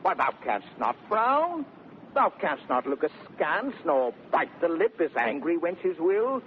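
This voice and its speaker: An actor playing a brash, teasing gentleman suitor in 1930s radio Shakespeare, declaiming with mock sweetness. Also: fairly high pitch, big pitch swings, slow. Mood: mock-tender. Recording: tinny, noisy 1930s radio recording.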